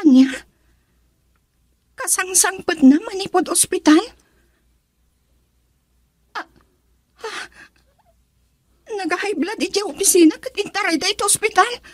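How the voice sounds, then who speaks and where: Young Filipino radio-drama heroine: high, earnest, expressive, dry studio sound. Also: female voice, measured pace, long pauses, no room sound. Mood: worried, upset. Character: young woman, devoted daughter and sweetheart.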